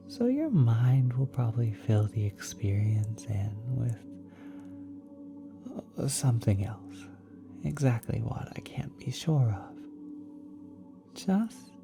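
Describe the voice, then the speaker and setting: Low, hushed, tender cosmic being, played by a woman. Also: exaggerated voice. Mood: gentle, reassuring. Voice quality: soft, breathy, often whispered. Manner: tender, reassuring, unhurried, speaking as if to a small child. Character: gentle, doting ethereal cosmic being, nurturing a young one. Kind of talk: ASMR roleplay.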